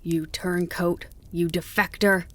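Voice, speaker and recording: A woman's voice: on the low side, level tone, takes it slowly, a bit bright. Woman acting a desperate, wounded old fox. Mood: bitter contempt. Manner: imploring, lamenting, turning to bitter snarls.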